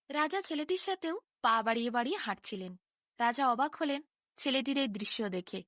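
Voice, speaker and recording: A woman storyteller reading a scripted bedtime fairy tale in an expressive, dramatic manner. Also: high-pitched, dry recording, clean studio sound.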